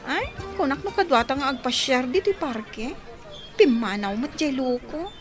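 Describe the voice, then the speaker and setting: An actress playing a spoiled, defiant student daughter in an Ilocano radio drama, pouty and whining, with an Ilocano accent. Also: female voice, sits high, lively intonation, normal speed, music in the background. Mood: annoyed, teasing. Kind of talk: Filipino radio drama acting.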